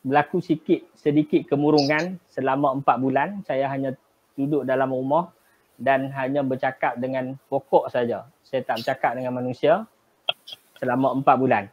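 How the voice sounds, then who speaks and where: A middle-aged man from Kedah in Malaysia with a northern Malaysian Malay accent, a guest telling his business story in a measured way, with pauses and fillers. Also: medium pitch, steady tone. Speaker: guest, travel agency business owner. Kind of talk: remote interview storytelling. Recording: dull lo-fi live stream audio.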